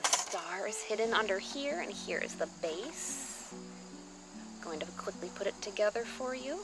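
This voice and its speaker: Female vlogger, mid-pitched, gushing and exclamatory casual show-and-tell delivery, outdoor recording with music underneath. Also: animated, unhurried. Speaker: vlogger showing thrift finds. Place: outdoors on a patio or deck at a table.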